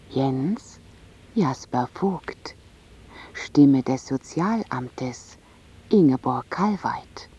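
A radio announcer reads formal credits extremely slowly, leaving space around each item. The voice is mellow and husky, with a slightly dull studio sound. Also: mid-range voice. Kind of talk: formal scripted credits reading.

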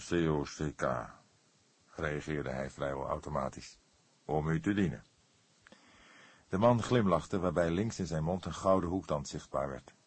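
A man narrates a literary detective audiobook. His voice is low and husky, recorded dry with hardly any room sound. The delivery is scripted storytelling. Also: no echo.

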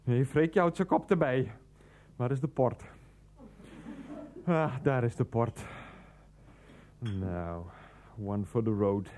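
A man's voice: mid-range voice, mellow, close to an everyday voice. An actor playing a rambling, defiant, grumbling man who likes a drink, muttering to himself in a radio play. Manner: muttering to himself, talks of himself in third person. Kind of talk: Dutch radio play acting.

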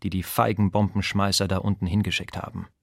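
A man with a slightly low voice reads literary prose from a script. The studio sound is close and free of echo. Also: little pitch movement, brisk, vocal fry. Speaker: voice actor reading quotations. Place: dry studio.

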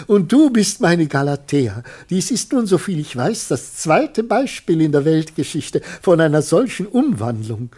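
A man's voice: fairly high pitch, darkish tone. An actor in a German radio play, playing a rapturous, deluded man who effusively pleads with the creature he believes he made. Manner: effusive, theatrical, solemn, pleading. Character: rapturous, deluded man claiming his runaway scarecrow as creation.

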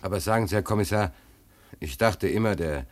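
An actor playing a naive, stubborn sailor adrift without papers in a German radio play, plaintive and hesitant. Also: male voice, fairly low pitch, brisk, close to an everyday voice.

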